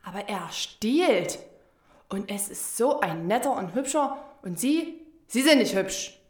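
A woman's voice: fairly high pitch, very animated, slow, roomy sound. An actress playing a crude, suspicious and proud old woman neighbour in a radio crime play, brusque and accusatory. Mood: suspicious, nosy.

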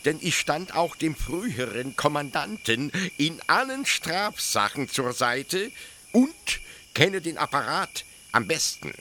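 Man playing a bright, zealous young penal officer. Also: on the high side, very animated, slight background noise, heavily acted. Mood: self-assured, zealous. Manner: hesitant pedantic explaining, with stammered pauses. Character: zealous young officer-judge of a penal colony, proud, defensive. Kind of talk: German literary radio play acting.

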